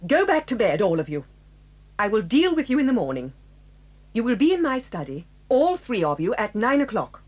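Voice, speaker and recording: An actress playing a stern, dignified headmistress who reproves pupils in a measured way, in a 1980s audio drama. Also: female voice, on the high side, sing-song, darkish tone.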